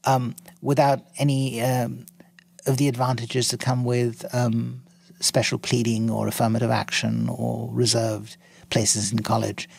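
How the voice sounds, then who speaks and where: A man in his sixties from India, a guest in an interview, speaking in an articulate, measured way with frequent ums and restarts. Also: mid-range voice, little pitch movement, vocal fry, darkish tone, husky, no echo. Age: 60s. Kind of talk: interview conversation.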